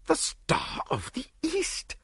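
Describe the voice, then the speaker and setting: A man's voice: fairly low pitch, very animated, moderate pace, fairly bright tone, breathy edge, no echo, clean studio sound, pitched above the performer's own voice, exaggerated voice. A man voicing a haggard, distraught nobleman in an audiobook, spluttering and exclaiming in bewilderment. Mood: astonished. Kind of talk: dramatized audiobook dialogue.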